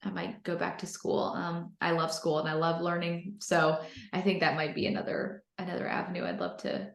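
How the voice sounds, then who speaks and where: A woman's voice: lowish voice, steady tone, moderate pace, creaky. An American woman in her thirties, upbeat and enthusiastic, answering interview questions conversationally, with a roomy, echoey sound. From North Carolina, United States. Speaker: interview guest, business founder.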